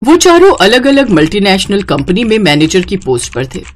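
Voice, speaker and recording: A woman's voice: mid-range voice, wildly swooping pitch, moderate pace. A woman narrating a scripted moral story as a storyteller.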